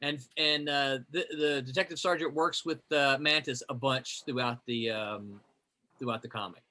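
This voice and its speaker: A man's voice: mid-range voice, normal speed, bright, forceful tone. A man hosting a group reading on a video call, explaining the rules in a chatty, rambling way. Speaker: host.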